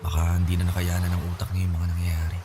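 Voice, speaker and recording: A man's voice: low-pitched, monotone, fast, background noise, lightly acted. A man acting a concerned, level-headed young adult son with a Filipino accent, earnest and respectful, in a Tagalog radio drama. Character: concerned, level-headed grown son advising his mother. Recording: music behind the voice.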